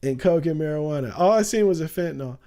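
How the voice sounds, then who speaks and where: A young man chatting casually on a livestream, loose and slangy, laughing often and calling everyone "bro", with a touch of rasp. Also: fairly high pitch, lively intonation, fast, dark tone. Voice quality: raspy at times. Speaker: streamer.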